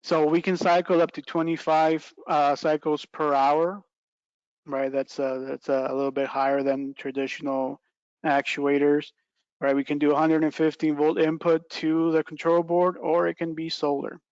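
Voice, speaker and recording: A man's voice: a little high, flat delivery, moderate pace, a little mellow, clean tone, no room sound, lo-fi sound. A middle-aged man giving an informal product presentation on a video call, explaining step by step and checking in with "right" and "okay". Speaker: product trainer presenting a webinar. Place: small plain indoor room, video call.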